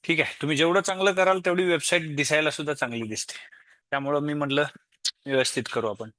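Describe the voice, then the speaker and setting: A man with an Indian Marathi accent giving an informal, step-by-step software tutorial, explaining as he goes and mixing in English tech terms. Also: a little high, fairly even intonation, fast, no echo. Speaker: software demo presenter.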